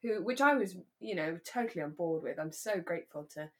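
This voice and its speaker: A woman's voice: on the low side, expressive, fairly quick, fairly bright tone. A woman talking casually as a podcast co-host, recapping and giving her opinions. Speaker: actor. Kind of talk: casual chat recapping a radio drama.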